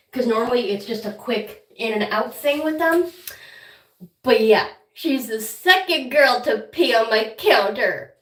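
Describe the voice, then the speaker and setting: A woman with a head cold chats casually on a home livestream, clearing her throat now and then and talking affectionately to her pets. Her voice sits a little high. The room is echoey, and she sounds set back from the microphone. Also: a bit bright, distant mic. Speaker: guinea pig channel host. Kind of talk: casual livestream chat while caring for pets.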